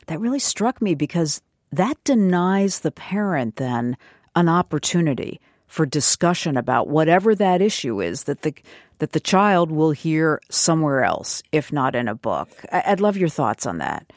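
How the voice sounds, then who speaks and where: Low-voiced woman interviewer, very lively intonation. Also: normal speed, no room sound, clean studio sound. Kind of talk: conversational interview.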